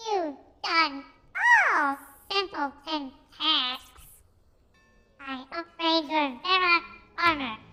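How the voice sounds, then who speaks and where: A tiny male creature with a piercing, very high squeak. It stretches every word out slowly and sounds thin and bright. Game music plays quietly behind. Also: heavily acted. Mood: proud. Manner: slow drawn-out squeak.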